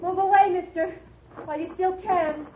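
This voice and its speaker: A woman's voice: extremely high pitch, steady tone, normal speed, heavy background noise. An actress playing a wary, desperate American pioneer mother with terse, folksy rural speech in an old-time radio western. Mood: hostile, guarded. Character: wary, desperate frontier mother guarding her sick baby. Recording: muffled, tinny old radio broadcast.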